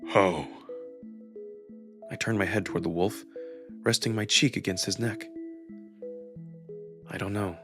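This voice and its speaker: A man's voice: on the low side, steady tone, normal speed, long pauses. A man narrating a first-person story dramatically, as a voice performer reading a visual novel. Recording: music behind the voice.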